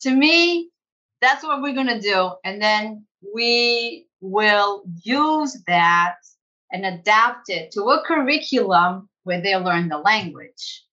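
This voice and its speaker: A woman school director telling her story in an interview, animated and conversational, laughing easily. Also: mid-range voice, slow pace, lo-fi sound. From United States. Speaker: executive director of a language school.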